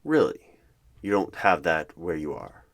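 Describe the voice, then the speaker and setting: Deep voiced guy doing asmr job interview roleplay, deadpan questions, keyboard typing and some noise behind him. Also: a little slow. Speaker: ASMR roleplayer as a hiring manager interviewing a candidate. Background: computer keyboard typing and clicks.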